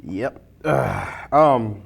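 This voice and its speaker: A man's voice: mid-range voice, lively intonation, drawn-out words, vocal fry, a little hiss or hum. A man hosting a casual, jokey interview with informal, colloquial phrasing. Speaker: interview host, library information desk worker. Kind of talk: casual chat during a hot sauce challenge interview.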